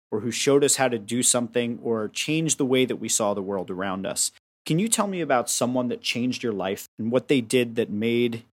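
A young adult man hosting a podcast, summing up his guest's points in long winding sentences before asking questions. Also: mid-range voice. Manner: long, summarizing questions with restarts. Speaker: podcast host. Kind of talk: casual interview chat.

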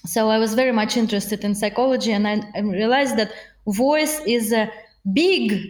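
Woman, Russian accent, earnest and animated, laughs often, fairly high voice, echoey room. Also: normal speed. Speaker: voice and accent coach, guest. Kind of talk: podcast interview.

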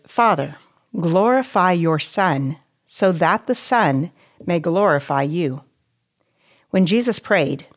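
A woman reading a scripted devotional in a measured, deliberate way with pauses between phrases. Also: mid-range voice. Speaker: devotional writer and reader.

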